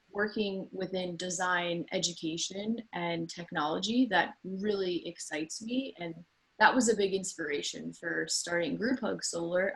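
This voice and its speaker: A woman's voice: fairly low pitch, creaky, a bit bright, rough recording. A woman in her thirties talks informally about her own career as a panel guest, in an earnest, conversational way. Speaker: designer and small business owner, panel guest.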